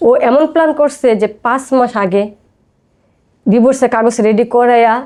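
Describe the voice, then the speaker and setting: Female podcast guest, Bangladeshi accent, fairly high mellow voice, quick conversational storytelling. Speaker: podcast guest telling her life story. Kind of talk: personal storytelling in casual talk.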